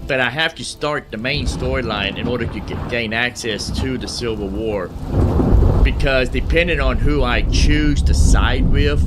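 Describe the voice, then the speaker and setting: A man giving casual gameplay commentary, explaining the game as he plays. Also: medium pitch. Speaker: gamer hosting a roleplay playthrough.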